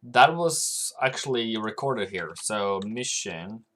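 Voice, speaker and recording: A man with a fairly low voice talks loosely through a live trading stream. He rambles from one thought to the next and picks up viewers' comments aloud as they come in, in a relaxed, chatty way. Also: slow pace, a little hiss or hum. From Norway. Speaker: forex trading livestreamer. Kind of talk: casual livestream chat.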